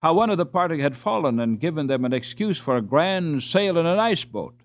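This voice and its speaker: A man narrating a storybook as an audiobook reader, in a measured, expressive way. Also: a little high.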